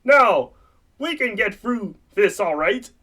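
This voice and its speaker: A man's voice: high-pitched, expressive, slow, bright, forceful tone, exaggerated voice. A man voicing an earnest young frog puppet in a comic radio play, urgent and a little hesitant. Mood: earnest pleading.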